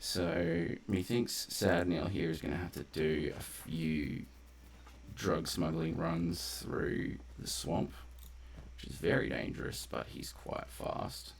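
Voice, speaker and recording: A man's voice: sits low, big pitch swings, slow pace, creaky. A man doing casual, rambling live gameplay commentary as a streamer, conversational and loose with swearing. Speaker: game streamer. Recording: faint background noise.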